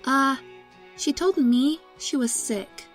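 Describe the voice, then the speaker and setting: A woman's voice: high-pitched, slow pace, soft background music. A woman playing a chatty, friendly teenage barista in an audio crime drama. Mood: hesitant. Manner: casual, teenage. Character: chatty, friendly seventeen-year-old high school girl barista.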